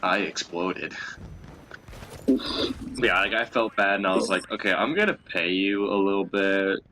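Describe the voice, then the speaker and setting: Bright, low-voiced young man chatting. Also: a little slow. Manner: profane, crude teasing banter. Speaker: co-host. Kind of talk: casual storytelling chat over a game.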